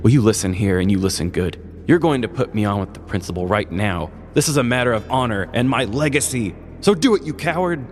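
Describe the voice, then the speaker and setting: A man's voice: lowish voice, animated, fairly quick, few pauses, big-room sound, faint music behind, lightly acted. A man playing a belligerent, self-important single father who rants in grandiose, profane style as a crank caller in a comedy story. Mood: indignant, bossy.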